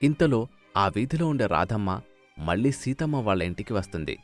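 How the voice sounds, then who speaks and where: A man's voice: on the low side, sing-song, moderate pace, soft background music. A man narrating a scripted moral story as a storyteller.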